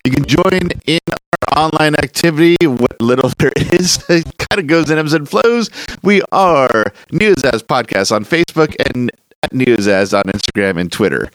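A man's voice: on the high side, lively intonation, normal speed, fairly bright tone, no echo, low-quality recording. A man hosting a podcast, speaking casually into a close microphone. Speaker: podcast host.

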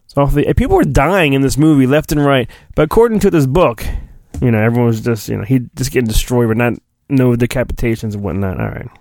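A man's voice: mid-range voice, fast, darkish tone, no echo. A male podcast host giving a mock-dramatic storybook reading, with hammy emphasis and rambling joking asides. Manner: hammy, exaggerated emphasis, digressive.

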